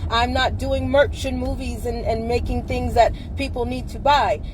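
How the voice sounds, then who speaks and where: A woman's voice: on the high side, background noise. A woman in her thirties hosting a live stream, talking to her viewers in an earnest, blunt, direct way. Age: 30s.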